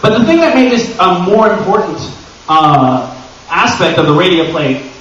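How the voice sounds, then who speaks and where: American man, fairly high voice, informal convention presenter, joking and conversational, recorded distantly in a very echoey room. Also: normal speed. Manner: animated, conversational, self-deprecating joking. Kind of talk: informal live presentation to an audience. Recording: echoey, dull, noisy room from far off.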